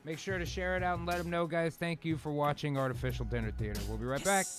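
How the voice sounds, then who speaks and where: A man's voice: on the high side, fast, soft background music. A man hosting a live comedy table read, switching between script narration and casual, slangy, jokey banter with some swearing.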